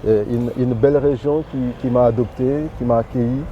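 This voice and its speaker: A man's voice: medium pitch, steady tone, fairly quick, narrow, tinny audio, a little hiss or hum. A man answering interview questions as the president of a church association, hesitating and repeating words.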